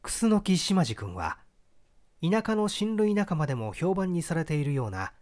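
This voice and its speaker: A man reading literary fiction as scripted audiobook narration in an expressive storytelling manner. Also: fairly high pitch, measured pace. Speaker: audiobook reader.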